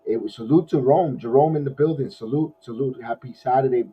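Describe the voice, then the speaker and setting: A man hosting a casual post-game sports podcast, his voice hoarse, rambling with many restarts like an excited fan. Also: mid-range voice, a little fast. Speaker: podcast host. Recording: dull lo-fi audio.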